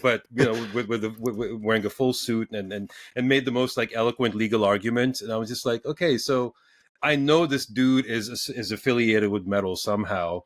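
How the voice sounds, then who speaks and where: A man in his forties hosting a casual podcast interview, talking in halting bursts with many restarts and repeated words. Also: medium pitch, no echo, clean studio sound. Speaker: podcast host.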